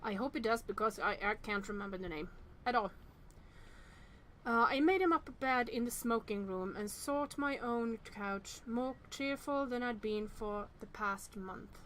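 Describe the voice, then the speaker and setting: Higher-pitched woman reading a story game aloud. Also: a little slow, fairly bright tone, a little hiss or hum. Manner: reacts aloud, stumbles over words while reading. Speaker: host playing and reading a visual novel. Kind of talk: reading story text aloud with casual commentary.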